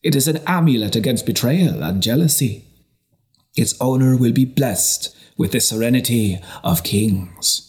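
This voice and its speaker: A man's voice: mid-range voice, lively intonation, a little slow, darkish tone, lightly acted. A wily travelling trader played by a male narrator peddles exotic rarities. He speaks in grandiose salesman's patter, as in a historical audiobook. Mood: grand, wondrous. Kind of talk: audiobook character reading.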